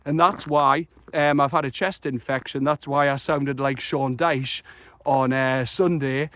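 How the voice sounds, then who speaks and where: A young man, croaky after a chest infection, talking passionately and rambling through a football match reaction. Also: medium pitch, steady tone, a little slow, a bit bright, a little hiss or hum. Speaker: football fan vlogger. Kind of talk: excited solo match reaction.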